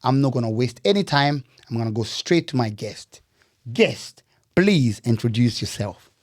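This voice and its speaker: A man's voice: mid-range voice, lively intonation, moderate pace. A man hosting a podcast, interviewing in a casual style and often repeating words and phrases back. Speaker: podcast host.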